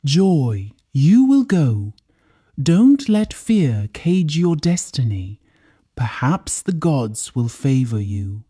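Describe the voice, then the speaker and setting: A woman's voice: very deep voice, very animated, slow pace, mellow, slightly rough, no echo, clean studio sound, heavily acted. A woman storyteller voicing a wise, protective village mother who gives her daughter tender counsel. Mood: firm encouragement. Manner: tender, proverb-laden counsel. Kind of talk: storybook character voice.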